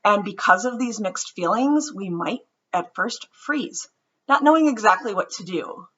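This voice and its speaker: A woman storyteller reads a children's book aloud in a bright, husky voice that moves through wide swings of pitch. Her phrasing is deliberate and dramatic, dropping soft at times. The recording sounds slightly muffled. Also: lively intonation. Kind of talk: expressive read-aloud narration.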